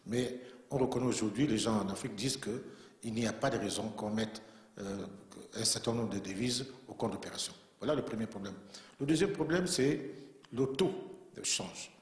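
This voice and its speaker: A man's voice: lowish voice, moderate pace. A man giving formal, earnest remarks as a panelist at a conference, from Central Africa. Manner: earnest, emphatic, repeats himself for weight. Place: conference room in a bank. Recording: echoey conference hall.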